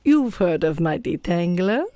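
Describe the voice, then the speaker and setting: A woman playing a self-assured, officious time-paradox specialist in a comic audio drama, deliberate and insistent. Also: fairly low pitch, big pitch swings, dark tone, dry recording.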